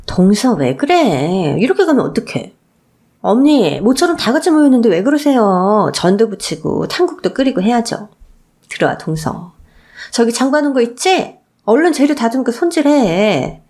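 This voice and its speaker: A woman's voice: on the high side, sing-song, normal speed, a little mellow. Woman acting a bossy, sugary sister-in-law. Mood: falsely sweet, bossy. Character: bossy, two-faced elder sister-in-law, sweetly ordering others around. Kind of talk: radio story drama acting.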